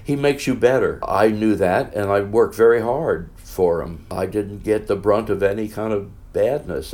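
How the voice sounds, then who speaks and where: Older male guest, low and loud, animated raconteur. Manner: animated storyteller, exclaims. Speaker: guest, veteran musical performer. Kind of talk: conversational interview.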